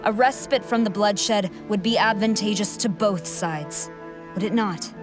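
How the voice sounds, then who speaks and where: An actress playing a haughty, coldly confident army commander in a video game, speaking in a measured, imperious and condescending way. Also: female voice, mid-range voice, music in the background, natural voice. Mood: cold, bargaining. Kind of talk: dramatic video game voice acting.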